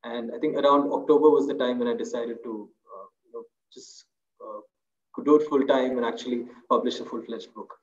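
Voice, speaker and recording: A man's voice: mid-range voice, fairly even intonation, fairly quick. A man in his thirties, an author speaking on a video call in a small room, thoughtful and loose, with many fillers and restarts. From India. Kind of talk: webinar talk. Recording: roomy, dull video call audio.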